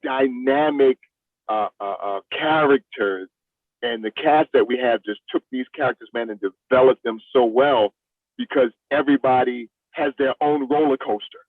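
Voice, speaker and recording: A man's voice: medium pitch, moderate pace, no echo. A man gives a casual interview as a stage director and actor. He speaks with enthusiasm and likes to repeat a phrase for emphasis. The sound is narrow, like a phone call, with very little room in it. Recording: thin phone-like call audio.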